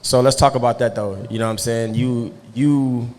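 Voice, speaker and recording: A man's voice: mid-range voice, fairly even intonation, moderate pace, a little mellow, in a large hall. A man hosting a casual podcast interview, laid-back and slangy, often asking "you know what I'm saying.". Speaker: podcast host.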